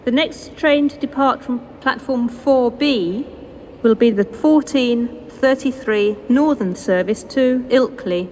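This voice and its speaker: A British woman reading scripted railway announcements over a station loudspeaker, formal and measured, in segmented phrases. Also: on the high side. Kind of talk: scripted public-address train announcements. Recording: noisy station PA recorded on location.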